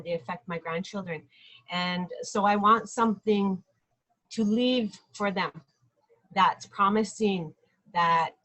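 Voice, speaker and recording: A middle-aged woman from South Dakota introducing herself as an election candidate in a live video stream, speaking in an earnest, measured way with frequent ums and pauses. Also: mid-range voice, a bit bright, rough recording. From Lake Traverse Reservation area, South Dakota. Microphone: webcam or phone, live video stream.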